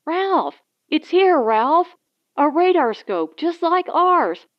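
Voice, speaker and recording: A woman's voice: sits high, sing-song, takes it slowly, no echo, highs cut off, pitched above the performer's own voice, exaggerated voice. A woman voicing a frantic young wife in a science fiction audiobook, with breathless, urgent exclamations. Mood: excited, urgent. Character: frantic young wife exploring derelict spaceships. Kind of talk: dramatic audiobook character reading.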